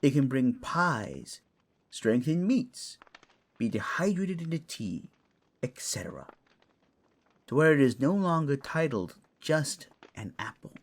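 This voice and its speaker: A man's voice: medium pitch, very animated, slow pace, full character voice. A man playing a wry, scholarly raccoon librarian in a fantasy audio drama, musing in a wordy, teacherly way. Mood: musing, fond.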